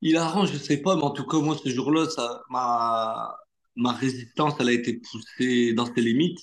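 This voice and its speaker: A man whose first language is Arabic, an actor telling stories in a relaxed interview, chatty, slangy and full of fillers. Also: mid-range voice, moderate pace. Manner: animated anecdote-telling with street slang and many fillers. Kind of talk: casual interview storytelling.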